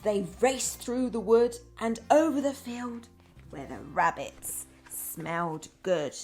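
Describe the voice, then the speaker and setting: Slow-paced woman reading a children's story. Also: on the high side, soft background music. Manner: expressive, addresses young children simply. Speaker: primary school teacher, storyteller. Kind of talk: children's storybook read-aloud with asides.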